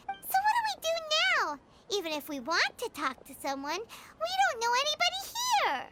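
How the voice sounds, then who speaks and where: Tiny, very high-pitched fairy sidekick. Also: female voice, very noisy, heavily acted. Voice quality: squeaky, childlike. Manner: expressive, exclamatory, refers to self in third person. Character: small excitable floating fairy companion, childlike, female. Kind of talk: video game voice acting.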